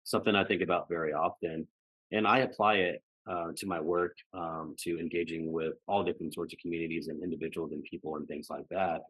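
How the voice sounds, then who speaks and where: Male podcast guest, American, very low voice, dry recording, reflective conversational delivery peppered with check-in tags. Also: level tone, fairly quick, breathy edge, no room sound, muffled recording. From United States. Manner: frequently ends phrases seeking agreement with "right" and "you know".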